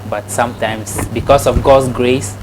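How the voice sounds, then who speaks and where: A man's voice: medium pitch, fairly even intonation, measured pace, noisy background. A man with a Ghanaian accent answering casually as an interview guest and explaining things like a trainer. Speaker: interview guest, snail farming trainer and channel owner.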